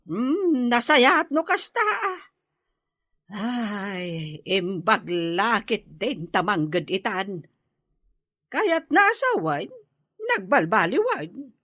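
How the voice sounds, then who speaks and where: Bright, scolding Ilocano mother. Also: medium pitch, big pitch swings, very slow, dry recording, clean studio sound, exaggerated voice. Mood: relieved. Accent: Filipino, Ilocano. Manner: scolding, emphatic. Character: scolding older mother of a wayward married man. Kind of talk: Ilocano radio comedy drama acting.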